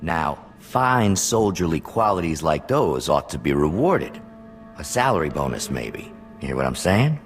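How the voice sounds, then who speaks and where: An actor playing a shady, menacing man who speaks slow veiled threats in a video game cutscene. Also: a bass voice, sing-song, faint music behind, exaggerated voice. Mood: veiled menace.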